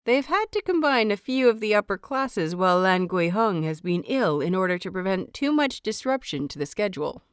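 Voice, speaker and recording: A woman reading scripted fiction as an audiobook narrator, with measured, expressive phrasing. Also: medium pitch, clear, resonant tone, dry recording, clean studio sound.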